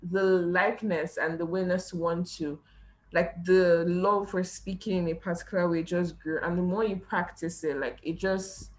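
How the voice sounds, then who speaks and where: A young woman who hosts a podcast talks in a relaxed, reflective way. Her voice sits low for a woman. The conversation is loose and casual. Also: normal speed. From Nigeria. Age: young adult. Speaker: podcast host.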